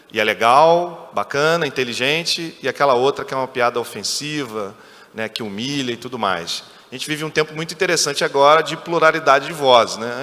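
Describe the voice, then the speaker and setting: Man moderating a panel, bright tense tone, long hall reverb. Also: medium pitch. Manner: conversational, leading questions with frequent "né". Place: conference stage.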